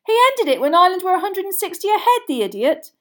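A woman's voice: very high-pitched, very animated, fairly quick, warm tone, pitched above the performer's own voice. A woman voicing a hot-headed, indignant young boy sports fan in a storybook, bellowing his complaints. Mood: baffled, scornful.

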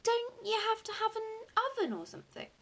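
A woman's voice: extremely high pitch, sing-song, moderate pace, fairly bright tone, voice pushed up, heavily acted. A woman voicing a young boy in a storybook, curious, wondering and full of questions. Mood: curious, doubtful. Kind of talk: acted audiobook dialogue.

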